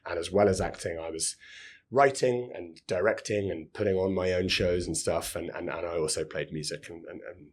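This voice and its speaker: A man in his thirties, a podcast guest, chatting in a relaxed, rambling way with a hoarse, scratchy voice. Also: deep voice, moderate pace. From United Kingdom. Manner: chatty, rambling, repeats words. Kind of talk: casual interview talk.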